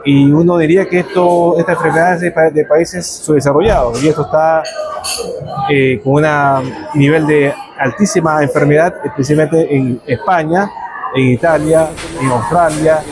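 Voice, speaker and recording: A man from Ecuador, a researcher who explains his work in a measured way, with some fillers. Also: on the high side, fairly even intonation, poor audio. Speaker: researcher, guest. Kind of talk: explanatory interview answers. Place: café during a power cut. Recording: crowd chatter behind.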